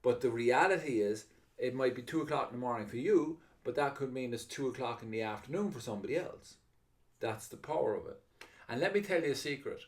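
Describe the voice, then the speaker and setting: Excitable Irish man pitching a webinar. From Ireland. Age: middle-aged. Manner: fast, excitable, upbeat salesman delivery. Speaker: webinar presenter.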